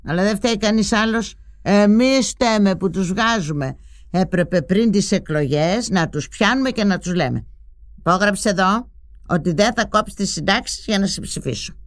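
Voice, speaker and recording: An actress voicing an older pensioner mother, bossy and sharp-tongued, who grumbles, scolds and fusses over her grown son in a dramatized memoir. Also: medium pitch, dry recording, studio quality, natural voice. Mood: ranting. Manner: scolding, chatty, complaining, with sudden outbursts.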